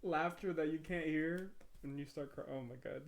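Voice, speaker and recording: A man's voice: a little high, moderate pace, vocal fry. A 25-year-old man co-hosting a podcast with his girlfriend, laughing easily and talking straight to listeners. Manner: laughs easily, keeps addressing listeners as "guys". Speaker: podcast co-host. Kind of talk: casual couple's podcast chat.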